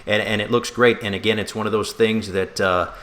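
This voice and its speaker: Casting: male sports broadcaster, low bright voice, casual and conversational co-host delivery with some halting restarts. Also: steady tone.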